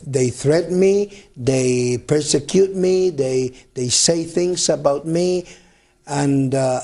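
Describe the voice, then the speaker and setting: A man's voice: fairly high pitch, mellow. A man who is a reverend and organizer, speaking earnestly in a studio interview and repeating words as he goes. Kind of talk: studio talk show interview.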